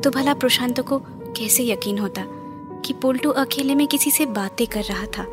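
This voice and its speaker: A woman's voice: on the high side, moderate pace, music in the background, natural voice. A woman playing an anxious mother in an audio horror drama, telling her story in a theatrical, alarmed way. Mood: mystified, narrating. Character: anxious Bengali mother recounting a haunting.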